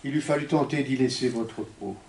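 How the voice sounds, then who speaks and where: A man recites poetry in a solemn, declamatory way, in measured phrases with deliberate pauses. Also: mid-range voice, a little mellow, a little hiss or hum. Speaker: poet reciting a poem. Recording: echoey, distant from the mic.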